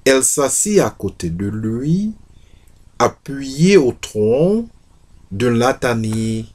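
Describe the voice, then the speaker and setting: A man reads literary fiction aloud. His pace is slow and deliberate, and his mid-pitched voice rises and falls widely as he goes. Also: sing-song, a little mellow. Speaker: reader of a novel excerpt. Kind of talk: literary reading aloud with brief English asides.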